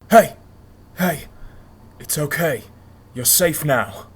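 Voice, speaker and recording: A woman voicing a calm, protective male refugee in a story reading, reassuring and plain-spoken. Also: deep voice, takes it slowly, slightly rough, voice pushed down, heavily acted.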